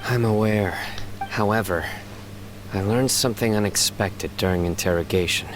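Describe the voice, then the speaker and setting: A male actor as a solemn, resolute enforcer in a video game. His low voice is grave and measured, every phrase deliberate, with noticeable noise in the background. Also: natural voice. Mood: grave, restrained anger.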